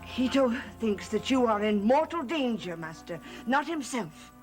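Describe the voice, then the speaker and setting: An actress playing an anxious, devoted female servant in a gothic soap opera, speaking gravely and formally. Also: a little high, very animated, unhurried. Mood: grave warning. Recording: dramatic music behind the voice.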